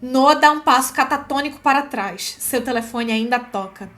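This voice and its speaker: A Brazilian woman hosting a screenwriting lesson, chatty and expressive, moving between casual explanation and reading from a script. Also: high voice, normal speed. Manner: expressive and chatty, frequent check-in tags. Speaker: screenwriting teacher and video host. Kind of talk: casual explanatory commentary with script read-aloud.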